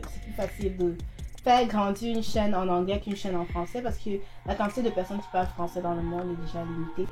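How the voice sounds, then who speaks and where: Mid-pitched woman arguing her point. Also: darkish tone. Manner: direct, argues her point with fillers. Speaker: guest youtuber. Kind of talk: casual discussion.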